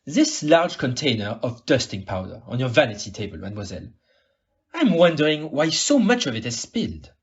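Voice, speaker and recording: Audio-play detective, male actor: polite, probing, exact, very animated intonation, muffled recording. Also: medium pitch. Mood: grave, pointed. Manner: formal, polite, deliberately questioning. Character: shrewd, courteous male detective, probing and precise.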